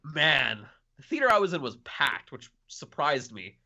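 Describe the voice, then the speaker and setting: A male podcast co-host with a bright, forward voice and lively swings in pitch. He chats casually about movies in loose, informal phrasing. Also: a little slow, vocal fry, muffled recording. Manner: informal, peppered with "like". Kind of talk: casual chat, film discussion.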